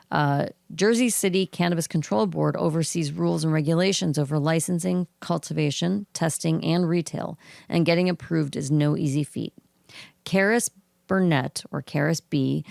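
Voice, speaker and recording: A woman's voice: low-pitched, dry recording, studio quality. A woman podcast co-host with a froggy voice, reading cannabis news aloud in a casual way. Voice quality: froggy, gravelly voice. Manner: reads news items aloud at an even pace, with throat clearing.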